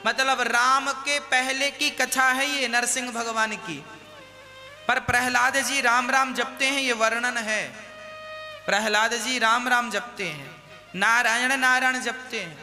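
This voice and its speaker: High, bright-voiced preacher man. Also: measured pace, big-room sound, faint music behind. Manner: rhetorical questions, scolding and exhorting listeners. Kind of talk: devotional sermon.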